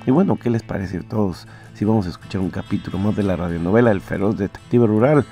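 Guy casually hosting a podcast, gravelly and relaxed, music in the back. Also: fairly low pitch, fast. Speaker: podcast host. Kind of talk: casual chat, reading listener comments.

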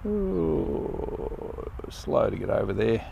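An older man flying a model plane in an open field outdoors, giving relaxed, enthusiastic commentary as he flies. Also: medium pitch, extremely slow, gravelly, muffled recording, slight background noise. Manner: relaxed, enthusiastic, thinking aloud while flying. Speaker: RC pilot reviewing a plane. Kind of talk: casual live flight commentary.

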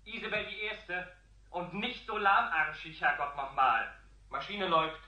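A man's voice: high voice, a little slow, bright, forceful tone, echoey room, far from the mic, lo-fi sound, heavily acted. A man playing an impatient director calling out orders in a radio play. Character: impatient male director calling from a control room. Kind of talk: radio drama dialogue.

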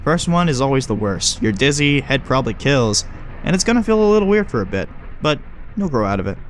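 A man's voice: mid-range voice, big pitch swings, fairly quick, a little hiss or hum, lightly acted. A man playing a wry, teasing creature that passes as a human mentor in a horror audio drama. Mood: wry, breezy. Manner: wry, breezy, teasing, coaching. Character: flippant, chummy man who is secretly a swarm of bugs.

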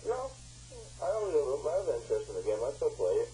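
Male, medium pitch, dark mellow tone, hesitant and unbothered, on a faintly noisy phone call. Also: sing-song, fairly quick. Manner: laid-back, unbothered, hesitant. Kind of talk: casual phone chat.

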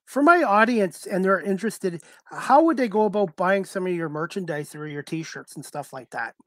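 A man's voice: high voice, expressive, moderate pace, a bit bright, no room sound, studio quality. A middle-aged man casually hosting an interview over a video call on a headset. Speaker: talk show host. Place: video call from indoor room.

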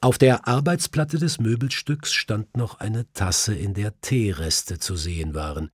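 A male audiobook narrator with a fairly low, husky voice. He tells a detective story in measured, literary style. The sound is close and dry, with no room on it. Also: no echo.

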